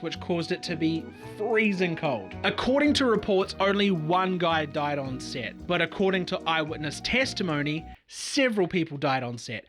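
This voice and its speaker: A man's voice: high voice, a bit bright. A man giving a sarcastic, profane comedic history commentary as a video host.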